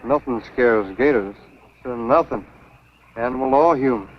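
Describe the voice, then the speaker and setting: A man's voice: medium pitch, measured pace, lightly acted. An actor playing a laconic, folksy swamp man with a Southern US accent in a 1950s B-movie. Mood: grim, uneasy. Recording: dull 1950s film soundtrack.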